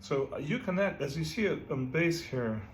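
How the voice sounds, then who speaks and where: A man's voice: on the high side, animated, normal speed. A man from Ukraine, teaching a casual guitar lesson and often checking in with "right?" between filler words. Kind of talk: casual music lesson with playing. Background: guitar playing.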